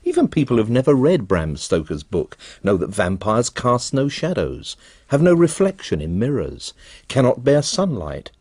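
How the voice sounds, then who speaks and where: Dramatic low-voiced presenter. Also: male voice, darkish tone, no echo, studio quality. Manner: dramatic, slow-paced narration. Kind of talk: scripted documentary narration.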